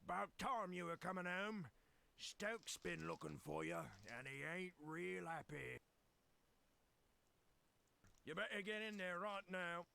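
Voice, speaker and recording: An actor playing a scolding old forge watchman, gravelly, drawling and bossy. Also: sits high, animated, slow, long pauses, pushed and bright, full character voice.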